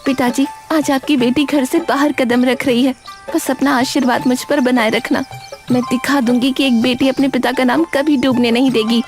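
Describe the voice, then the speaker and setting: Woman voicing an earnest, very high-pitched young daughter. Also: fairly even intonation, moderate pace, faint music behind. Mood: determined. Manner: earnest, emotional. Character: devoted young daughter, grieving yet determined. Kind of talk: Hindi cartoon drama acting.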